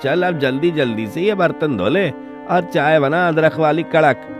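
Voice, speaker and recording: A man's voice: on the high side, measured pace, music in the background, close to an everyday voice. A man voicing a brusque, commanding tea shop owner in a cartoon story. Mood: bossy, impatient. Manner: curt, commanding.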